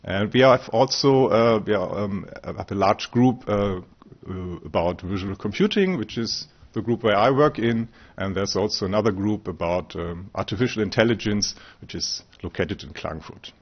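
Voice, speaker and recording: A man's voice: on the low side, normal speed, highs cut off. A man from Austria giving a conference talk as a researcher, hesitant, with frequent fillers and restarts. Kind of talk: conference presentation. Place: conference room.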